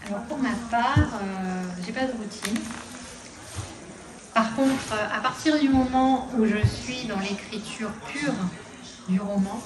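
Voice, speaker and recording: A woman who is a schoolteacher and novelist, talking in a lively, emphatic, flowing way. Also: a little high, takes it slowly. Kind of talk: festival interview. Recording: echoey room with noise.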